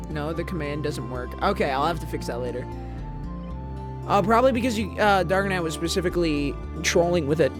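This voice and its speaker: A chatty woman streamer talks and laughs as she reads aloud, breaking off her own sentences. Her pitch swings widely. Game music plays steadily behind her voice. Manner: chatty, jokey, self-interrupting, laughs often. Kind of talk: casual live-stream chat and manga reading. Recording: background music under voice.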